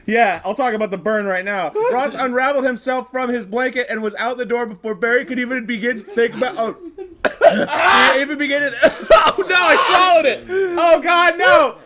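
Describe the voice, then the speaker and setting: A man's voice: very high-pitched, steady tone, moderate pace, heavy background noise. A man on a group livestream reading a story aloud with exclaimed asides, suffering from burning hot sauce. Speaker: streamer reading fan fiction aloud. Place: group livestream with others reacting. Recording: rowdy group chatter behind.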